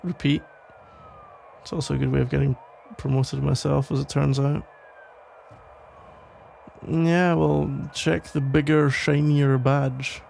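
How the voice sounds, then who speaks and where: A man playing a protective, tender police officer still in love, speaking haltingly in an intimate ASMR roleplay. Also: medium pitch, level tone, vocal fry, a little hiss or hum. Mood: wistful, self-deprecating. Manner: hesitant, halting, rambling with sighs and soft chuckles. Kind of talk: ASMR roleplay, intimate one-sided conversation.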